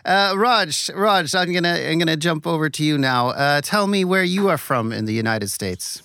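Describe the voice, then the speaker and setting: A man hosting a podcast interview, measured in tone and a little halting when asking questions. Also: on the high side, lively intonation, sharp tone, smooth. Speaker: podcast host.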